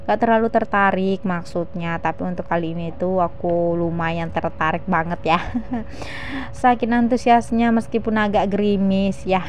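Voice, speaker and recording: A chatty, giggly woman from South Sulawesi, Indonesia, doing a casual vlog voice-over to her viewers, with a slightly shrill edge. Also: darkish tone. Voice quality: slightly shrill, by own account. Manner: chatty, giggly, frequent tag words. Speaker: vlogger. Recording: dull lo-fi voice-over with faint noise.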